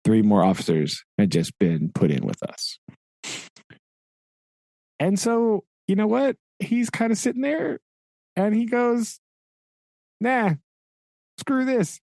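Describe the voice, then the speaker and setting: A man talks through a story in a loose, conversational way, peppering it with "right?" and chuckles. His voice sits at an ordinary pitch, and the recording is dry and close. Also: darkish tone, no echo. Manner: retelling a story with frequent "right?" and laughs. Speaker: podcast co-host. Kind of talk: casual book discussion.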